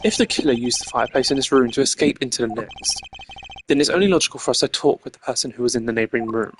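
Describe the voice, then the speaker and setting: A man plays a stern, cerebral game investigator. He speaks in a formal, precise way with dry sarcasm, at a middling male pitch, over background music. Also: fast, natural voice. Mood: measured, analytical. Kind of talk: hobby voice acting of a video game. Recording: music behind the voice.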